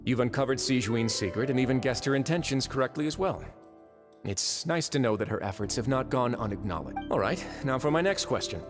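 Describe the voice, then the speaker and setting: An actor playing a composed, shrewd duke of a prison fortress in a video game, measured and dryly authoritative. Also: male voice, mid-range voice, animated, lightly acted. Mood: amused approval. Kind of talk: video game character acting. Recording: long reverb, faint music behind.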